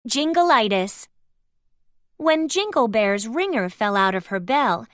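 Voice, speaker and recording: Slow, high-voiced woman reading a storybook. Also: a bit bright, no room sound, clean studio sound. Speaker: storybook narrator. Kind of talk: scripted read-aloud narration for young children.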